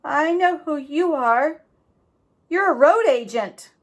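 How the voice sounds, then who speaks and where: A woman's voice: high-pitched, lively intonation, slow, pitched above the performer's own voice, full character voice. A woman doing a scared polite boy. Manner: polite, calls everyone sir. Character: frightened young boy, polite.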